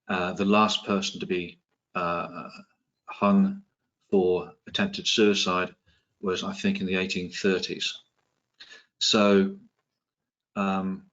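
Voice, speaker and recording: Man from england, new zealand-tinged english accent, fairly low voice, halting, presenter, lo-fi video call sound. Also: a bit bright. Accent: English, with some New Zealand influence. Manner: hesitant, many ums and restarts, dry humor. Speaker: psychiatrist and presenter. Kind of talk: online webinar talk.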